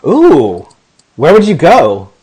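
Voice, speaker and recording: A man hosting a casual interview show, upbeat and animated, asking friendly questions. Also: fairly high pitch, takes it slowly, slightly rough. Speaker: host.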